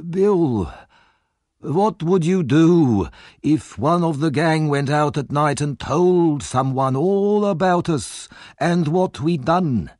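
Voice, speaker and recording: A sinister old crime boss for an audiobook. The voice is pitched high and swings up and down, drawing words out slowly as it wheedles with barely hidden hatred. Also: male voice, animated, a little mellow, dry recording, clean studio sound. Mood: sly, hateful. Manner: slow, drawn-out, insinuating. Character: sinister scheming old male gang boss, hateful and wheedling.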